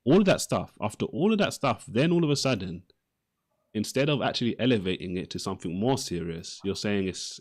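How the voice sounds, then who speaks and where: A man's voice: low-pitched, darkish tone. A man arguing in an unscripted live debate as its host, insistently repeating and restarting his phrases.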